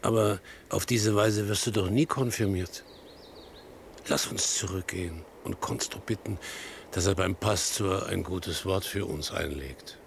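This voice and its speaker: An actor playing a poor old farmhand father in a radio play, anxious and deferential, devoted to the child, with a halting, rambling way of talking. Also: low-pitched, creaky, slight background noise. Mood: weary, doubtful. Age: older.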